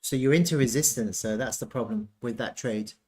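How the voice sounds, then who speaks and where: Man casually thinking aloud, halting delivery. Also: mid-range voice, darkish tone. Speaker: trading analyst presenting chart analysis. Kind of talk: casual off-the-cuff chart commentary.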